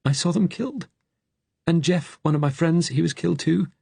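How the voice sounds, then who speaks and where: Man acting a frightened fugitive, high and trembling. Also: normal speed, creaky, darkish tone, breathy edge, no room sound. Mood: grieving, trembling. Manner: pleading, shaken.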